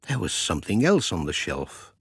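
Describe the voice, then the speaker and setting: A man narrating a children's storybook in a measured, expressive storytelling manner. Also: deep voice, creaky, breathy edge, no echo, studio quality. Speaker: audiobook narrator.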